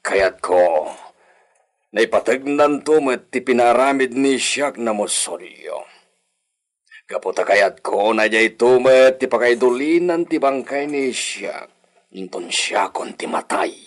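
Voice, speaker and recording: Old filipino hacienda boss, radio drama, slow talker, solemn but pitch moves around a lot. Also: male voice, expressive. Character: ageing Filipino hacienda master, grave, musing on death. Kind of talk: Ilocano radio drama acting.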